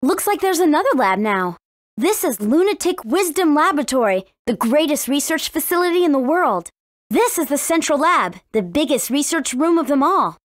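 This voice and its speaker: A woman with an American accent playing a spirited, earnest teenage warrior princess in an English video game, with expressive, emphatic delivery. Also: high voice, normal speed, dry recording, clean studio sound. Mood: earnest, explaining.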